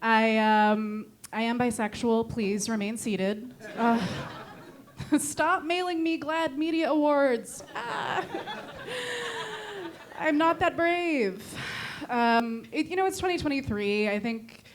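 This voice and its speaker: A woman's voice: a little high, slow. Young woman with a Connecticut accent. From New England, Connecticut. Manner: dry, self-deprecating delivery. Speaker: stand-up comedian. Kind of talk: stand-up set. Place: comedy club stage.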